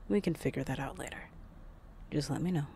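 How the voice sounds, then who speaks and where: Composed, loyal second-in-command, acted out, creaky mid-range voice, formal, slight background noise, audio drama. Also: mid-range voice, brisk, dark tone, lightly acted. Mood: composed, helpful. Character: loyal, composed, efficient right-hand assistant to a boss. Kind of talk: audio roleplay acting.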